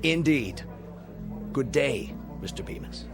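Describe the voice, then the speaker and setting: A self-satisfied, domineering bank president in a radio drama. His delivery is stern and pompous, swinging widely in pitch for emphasis. There is faint music underneath. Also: animated, slow pace, vocal fry. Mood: scornful, disapproving.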